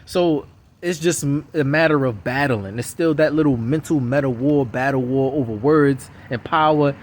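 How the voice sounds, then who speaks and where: A man giving a casual, rambling monologue to camera outdoors on city streets, repeating himself insistently. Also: mid-range voice. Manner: repetitive, insistent, circles back to the same points. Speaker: vlogger.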